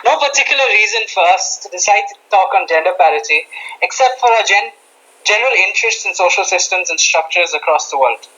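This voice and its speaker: Bright-voiced man hosting a podcast. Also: high voice, expressive, a little fast, highs cut off. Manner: talkative, self-mocking, many restarts. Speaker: podcast host. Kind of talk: casual podcast chat.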